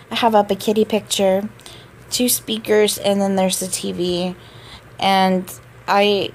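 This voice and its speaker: A woman's voice: medium pitch, darkish tone, a little hiss or hum. A woman who just woke up, giving a casual voice-over tour of her game apartment, chatty and drawn out with small laughs.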